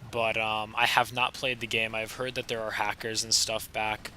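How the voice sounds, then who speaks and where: A young man with a slightly raspy, sick voice, casually commenting over his own gameplay footage with a chatty, laid-back manner. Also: on the low side, flat delivery, moderate pace, sharp tone, a little hiss or hum. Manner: casual, laid-back, chatty with frequent fillers and short laughs. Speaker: gaming channel host.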